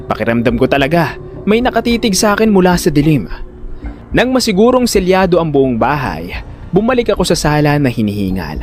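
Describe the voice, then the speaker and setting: Man acting an animated, anxious protective older brother. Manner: dramatic storytelling, exasperated and high-pitched in dialogue. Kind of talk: first-person audio drama narration with acted dialogue.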